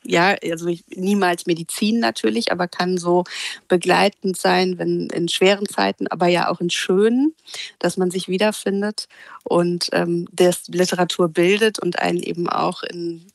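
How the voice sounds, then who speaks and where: A woman guest on a radio show, talking about books in a relaxed, casual way. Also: fairly low pitch, moderate pace, vocal fry, no echo. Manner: relaxed, casual, fillers like "total". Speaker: literary critic guest.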